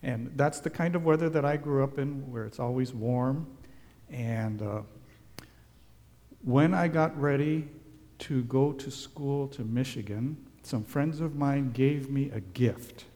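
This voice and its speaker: A man who grew up in Hawaii, giving a gentle children's sermon as a pastor and asking the children questions. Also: medium pitch, slow pace. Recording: long hall reverb.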